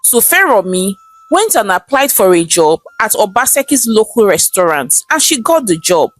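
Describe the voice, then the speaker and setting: A woman with a Nigerian accent narrating a scripted folktale in a dramatic, expressive storytelling manner. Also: mid-range voice, normal speed, no echo.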